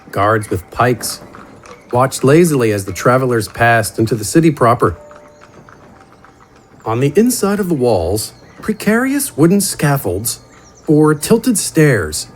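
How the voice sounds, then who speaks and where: A man narrating a scripted fantasy story in a measured, descriptive storytelling manner. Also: mid-range voice, a little hiss or hum. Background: horse and outdoor ambience effects.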